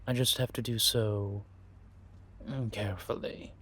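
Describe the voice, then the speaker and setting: A man acts out a scheming male vampire spy in an audio roleplay. His voice is fairly low and breathy-rough, and he takes his time over every phrase. He muses theatrically, with a sly, predatory playfulness, as if confiding in a companion. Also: fairly even intonation, a bit bright. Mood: watchful, scheming. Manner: theatrical, savoring, drawn-out musing with pauses. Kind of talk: scripted audio roleplay monologue addressed to a companion.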